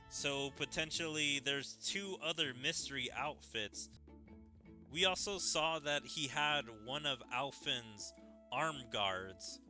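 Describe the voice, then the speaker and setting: A man commentates on a game in a casual, musing way. His voice is very bright and forward, a little high for a man. He takes his time, and game music plays faintly behind him. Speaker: gaming streamer. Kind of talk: let's play commentary, reading game dialogue aloud.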